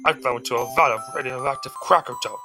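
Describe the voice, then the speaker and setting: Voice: mid-range voice, quick pace, pushed and bright, faint music behind, natural voice. A performer voicing a male crocodile turned cracker monster, telling a story dramatically in a goofy fan dub. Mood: brooding, dramatic.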